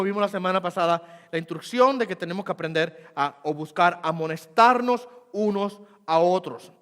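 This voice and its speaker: A male pastor preaching a sermon, rising loud and slow on key points and dropping to quick, quiet asides. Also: high-pitched, long reverb tail. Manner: swells loud and slow for emphasis, then drops to quick murmurs. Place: church sanctuary.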